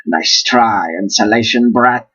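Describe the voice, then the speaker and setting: A man's voice: fairly low pitch, takes it slowly, bright, forceful tone, breathy edge, distant mic, lo-fi sound, heavily acted. A man voicing a power-hungry villain robot jet from a storybook, snarling and commanding. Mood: sneering.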